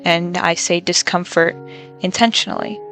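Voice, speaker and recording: A young woman speaks in a low, creaky voice with a flat, even delivery. She sounds reflective and measured, pausing often as she vents in a personal monologue. Faint music plays behind her. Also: low-quality recording. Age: young adult. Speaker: college student.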